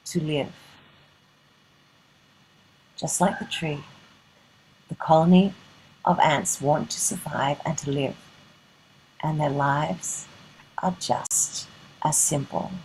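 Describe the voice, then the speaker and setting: A woman narrating a play in a dramatic scripted style, breaking it into short, deliberate phrases. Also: sits low, steady tone, a little mellow, a little hiss or hum, low-quality recording.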